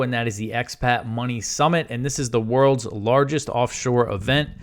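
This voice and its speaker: News host: man, smooth clear voice, mid pitch, matter-of-fact and informal delivery. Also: fairly bright tone. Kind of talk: news commentary, reading stories and quotes with casual asides.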